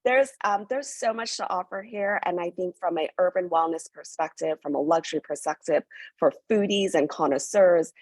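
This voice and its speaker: An eager woman from New York talks up a hotel in an interview, full of fillers. Her voice sits low and sounds husky. The recording is dry and close. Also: normal speed, darkish tone, no echo. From born in Hong Kong, raised in New York. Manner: enthusiastic, many fillers. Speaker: hotel representative. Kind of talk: promotional interview.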